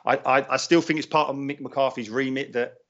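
A middle-aged man from London speaks quickly and with conviction. He keeps restarting his sentences as he argues his point in a radio interview. The sound is dull and lo-fi, like a phone line. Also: mid-range voice, fairly even intonation, fairly bright tone. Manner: earnest, firm, frequent restarts. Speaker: former footballer, radio guest.

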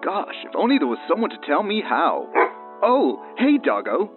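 A man's voice: high-pitched, wildly swooping pitch, moderate pace, phone-quality sound, music in the background. An actor playing a chirpy, over-eager man in a parody propaganda radio advert, exaggerated and peppy, on a thin playback. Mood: chirpy, earnest.

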